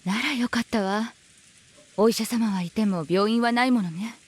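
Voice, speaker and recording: A cool, knowing middle-aged woman in a visual novel game. Her voice is fairly high and breathy, with quick phrasing. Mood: relieved.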